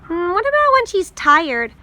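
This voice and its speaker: A woman's voice: very high voice, very animated, moderate pace, pushed and bright, voice pushed up, heavily acted. A woman voicing a curious, eager young schoolgirl in a storybook, wide-eyed and full of questions. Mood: excited. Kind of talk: storybook read-aloud character voice.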